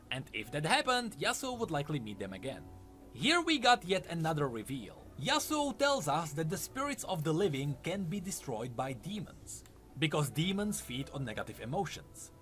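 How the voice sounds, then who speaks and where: Higher-pitched man narrating with lively swoops. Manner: explanatory storytelling. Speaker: video essay narrator. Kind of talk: scripted lore narration.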